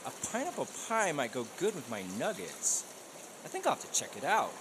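Man playing wildly animated American cop. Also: fairly high pitch, a little fast, noisy background. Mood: curious. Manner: incredulous, exaggerated questioning. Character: excitable, gullible male American cop. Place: small studio stage, live audience. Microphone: studio condenser mic.

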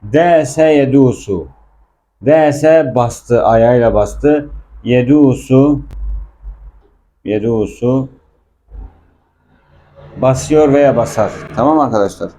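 A man with a dark, relaxed voice teaches a language lesson. He is informal and patient, repeating words and explaining them at a mid-range pitch. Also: slow, long pauses.

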